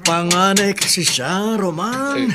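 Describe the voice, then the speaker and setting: High-voiced, earnest Filipino father. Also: lively intonation, slow pace, a loud crowd. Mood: resigned, patient. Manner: earnest, emotional, pleading. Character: self-sacrificing, doting poor father of three. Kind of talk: Filipino radio drama acting.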